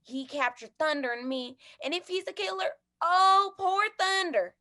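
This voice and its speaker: A woman's voice: extremely high pitch, animated, normal speed, pushed and bright, exaggerated voice. A woman playing a feisty, folksy older cowgirl in an old-time western radio drama. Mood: anxious.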